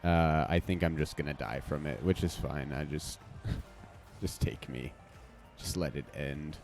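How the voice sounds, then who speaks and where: Deep-voiced man swearing through gameplay. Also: unhurried, creaky, over music. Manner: casual, swearing, reacting to play. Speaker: streamer. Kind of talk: live gameplay commentary.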